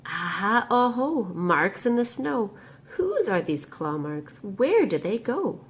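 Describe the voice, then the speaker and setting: A woman's voice: mid-range voice, sing-song, takes it slowly, slightly rough, pitched above the performer's own voice, full character voice. A woman voicing a young female monster child in a storybook, curious, brave and full of eager questions. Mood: excited, curious. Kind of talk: storybook read-aloud character voice.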